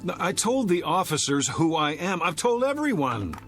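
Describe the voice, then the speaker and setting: A man's voice: on the high side, very animated, moderate pace, a bit bright, soft background music. A man acting as an indignant forty-year-old fighting to prove who he is, with an agitated, sarcastic dramatic delivery. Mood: wary impatience. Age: 40s. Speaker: actor.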